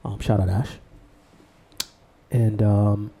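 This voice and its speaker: A man from Bangladesh, co-host of a podcast, telling his life story in a relaxed, rambling way. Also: fairly low pitch, slow, creaky, slight background noise. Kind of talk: casual podcast conversation.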